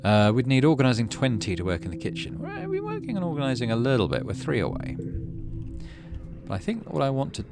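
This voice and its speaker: A man's voice: mid-range voice, wildly swooping pitch, fast talker, loud music behind the voice. A man giving casual gaming commentary, reading game text aloud and muttering as he thinks. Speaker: let's play host.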